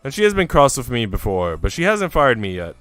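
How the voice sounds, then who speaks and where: A defiant housemaid played by a man answers with composed, dry politeness. The voice is smooth and clear with lively inflection, in visual novel style. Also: medium pitch, brisk, no echo, faint music behind. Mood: calm defiance. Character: cool, calm, protective housemaid, defiant and dry.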